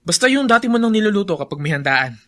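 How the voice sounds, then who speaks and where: A man's voice: high-pitched, sing-song, quick pace, a bit bright. A man playing a jovial, generous hacienda owner in a Filipino radio drama, speaking heartily with English words mixed in. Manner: hearty, Taglish-peppered.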